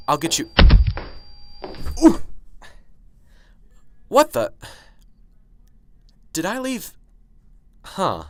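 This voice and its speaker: A man's voice: medium pitch, fairly bright tone, a little hiss or hum. A man playing a nervous, unsure professor in an amateur radio drama, muttering hesitantly to himself and stammering. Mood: gentle, puzzled. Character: nervous, unsure, bookish male professor, anxious and brooding.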